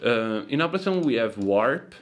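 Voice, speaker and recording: A man giving a casual, loosely phrased software tutorial as a sound designer. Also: mid-range voice, sing-song, moderate pace.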